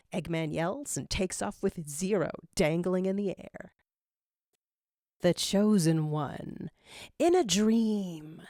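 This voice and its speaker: A woman's voice: medium pitch, a little mellow, dry recording, studio quality. A woman reading scripted fiction aloud as a dramatic narrator.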